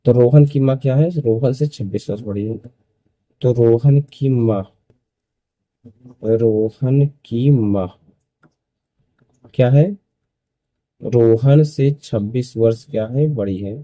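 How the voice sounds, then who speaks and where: A man's voice: medium pitch, slow pace, pauses often, dry recording, highs cut off. A man in his early twenties teaching school maths step by step, repeating phrases and asking questions that he answers himself. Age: early 20s.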